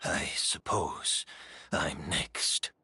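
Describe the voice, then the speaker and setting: An actor playing a gentle, resigned scholarly teacher in a video game, measured and melancholy. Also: male voice, rumbling low, faint music behind, heavily acted. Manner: measured, wistful. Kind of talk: video game dialogue acting.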